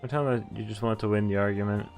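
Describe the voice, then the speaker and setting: Low-voiced man reading a game aloud. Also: fairly even intonation, rapid-fire, darkish tone. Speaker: game streamer. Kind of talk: reading visual novel text aloud with casual commentary. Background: game background music.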